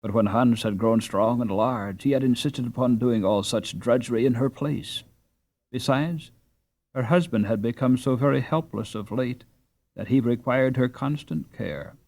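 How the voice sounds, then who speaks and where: A man narrates a classic children's storybook. His voice sits at a middle pitch for a male reader. The delivery is scripted audiobook storytelling. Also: moderate pace, darkish tone.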